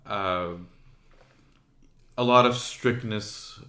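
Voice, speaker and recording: A man with a low, bright voice talks through his experiences in a casual podcast chat. He is candid and reflective, and he quotes what others told him as he goes. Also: drawn-out words, echoey room. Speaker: podcast host.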